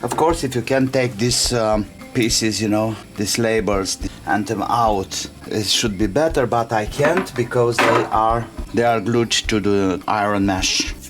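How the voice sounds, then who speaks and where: A man who speaks English as a second language, hosting a casual hands-on tutorial in simple, halting step-by-step phrases. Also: on the low side, heavy background noise. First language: not English. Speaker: DIY tutorial host. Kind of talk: casual hands-on how-to narration. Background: music.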